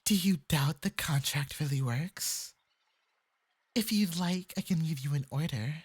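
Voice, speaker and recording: A performer with a light, breathy voice playing a clingy, lovestruck fox-spirit femboy mage in an intimate ASMR roleplay, coaxing sweetly and possessively. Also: medium pitch, unhurried. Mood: possessive, calm. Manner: sweetly coaxing, possessive, swinging into eager excitement.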